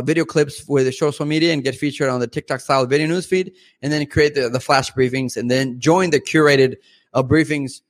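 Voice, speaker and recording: A man's voice: fairly high pitch, no echo, studio quality. A man whose first language is Spanish, talking as a podcast guest in a rambling, casual way with many fillers. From Mexico. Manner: rambling, lots of fillers and restarts. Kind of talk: casual interview chat.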